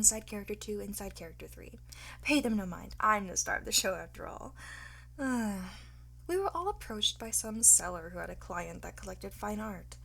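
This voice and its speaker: A woman playing a cocky, flirtatious art thief in an ASMR roleplay, teasing and smug, often slipping into breathy whispers. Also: medium pitch, a bit bright, full character voice. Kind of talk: ASMR roleplay, one-sided acted dialogue.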